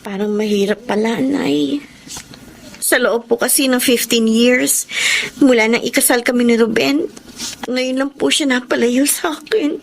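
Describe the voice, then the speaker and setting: A woman's voice: a little high, unhurried, vocal fry, slight background noise. An actress playing a lonely, devoted wife and mother in a melodrama, speaking in a plaintive, tender way. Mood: sad, worried. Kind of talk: radio-style melodrama acting.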